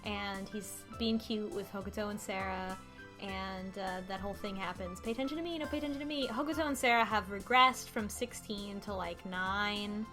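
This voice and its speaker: A woman's voice: mid-range voice, normal speed. A woman co-hosting a casual discussion, recapping and commenting on a game's story. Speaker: podcast co-host recapping the plot. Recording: faint music behind the voice.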